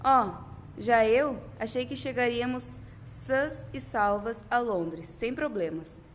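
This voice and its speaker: Girl acting as brisk bold young woman on the run, amateur radio play, highish voice, bit of noise. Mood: excited, urgent. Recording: noisy amateur school recording.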